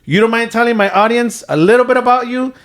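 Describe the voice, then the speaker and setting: A man in his thirties hosts a podcast in a notably high voice. He is upbeat and affirming toward his guest, and he switches into Spanish phrases from time to time. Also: fast talker, a bit bright. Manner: hyped, affirming, mixes in Spanish. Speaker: podcast host. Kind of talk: casual podcast interview.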